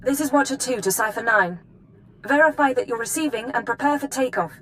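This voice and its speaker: An actress playing a brisk intelligence officer in a video game, formal and efficient. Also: female voice, a little high, a bit bright, a little hiss or hum, natural voice. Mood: urgent. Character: brisk female intelligence officer giving briefings. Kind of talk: video game cutscene acting.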